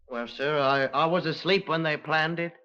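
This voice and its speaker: Slow-witted young spiv, higher-pitched, muffled. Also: male voice, pushed and bright. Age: young adult. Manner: slow-witted, hesitant, polite working-class speech. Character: simple-minded, deferential young London spiv under questioning. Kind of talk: 1950s radio drama acting. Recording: muffled old radio recording.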